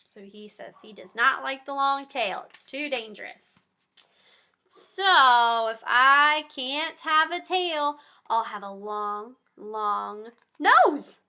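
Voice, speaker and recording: A woman reading a story aloud to young children in an expressive, encouraging manner, pausing to chat with the listeners. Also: sits high. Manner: animated, encouraging, asks listeners simple questions.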